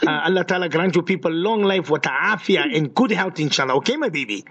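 High-voiced man hosting a radio call-in. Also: lively intonation, fast talker, a bit bright, highs cut off. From Portugal and Mozambique. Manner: affectionate, repeats phrases, uses Islamic expressions. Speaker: radio show host. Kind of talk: casual live call-in chat with listeners.

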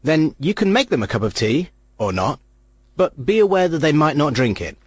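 A man's voice: fairly high pitch, big pitch swings, moderate pace, husky, no echo. A man giving a comic mock-lecture and acting out the voices he quotes. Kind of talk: comic monologue.

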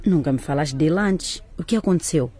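Low, mellow, protective mother. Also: brisk, breathy edge. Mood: concerned. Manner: tender, concerned, gently probing. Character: protective, worried mother of young boys. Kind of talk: radio drama acting.

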